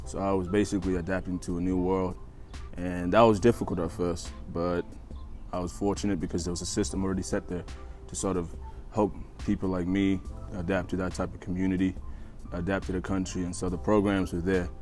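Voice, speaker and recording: Male, 20s, non-native English speaker, very deep register, relaxed interview answers with emphatic repetition, faint music bed. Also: a little fast. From East Africa and Australia. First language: not English, learned it after moving to Australia. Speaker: interview guest, college basketball player. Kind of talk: casual interview, telling own life story. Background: background music.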